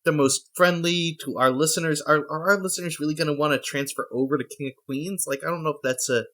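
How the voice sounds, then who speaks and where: A man co-hosting a comedy podcast, talking in a hesitant, halting way. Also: a little high, steady tone, fairly bright tone. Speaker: podcast co-host. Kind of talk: improvised comedy chat.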